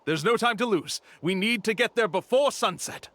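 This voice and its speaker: Male game swindler: smug theatrical tour guide, highish pitch, lively intonation, faint music behind. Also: moderate pace, no room sound. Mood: glib salesmanship. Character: smooth-talking, brazen male con-artist tour guide. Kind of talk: video game voice acting.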